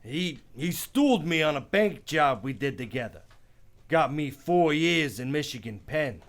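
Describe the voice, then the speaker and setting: A menacing gunman from an old hardboiled radio play, acted by a man. The voice is a little high and gravelly, and he takes his time with every curt, slangy threat. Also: expressive, unhurried. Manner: slangy, curt gangster talk. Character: menacing tough gunman out for revenge. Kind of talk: 1940s hardboiled radio drama acting.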